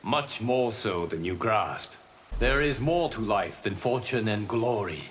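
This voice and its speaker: Casting: wise guardian deity for a fighting game, low register, grave and formal, faint ambience behind. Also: male voice, expressive, a bit bright, a little hiss or hum. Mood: calm, solemn. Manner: formal, measured, grave wording. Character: calm, solemn male fire god and wise guardian mentor. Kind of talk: scripted fighting game dialogue.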